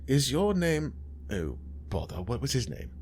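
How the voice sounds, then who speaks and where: A man voicing an aging, haughty gentleman who asks fussy, formal questions in a condescending way. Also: medium pitch, very animated, a little slow, slight background noise, voice pushed up. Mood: prim.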